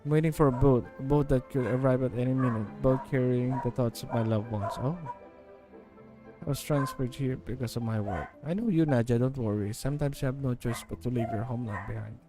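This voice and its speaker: A man's voice: medium pitch, fairly quick, poor audio. A man from the Philippines with a Filipino accent, live streaming a game with casual commentary and reading dialogue aloud. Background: game music.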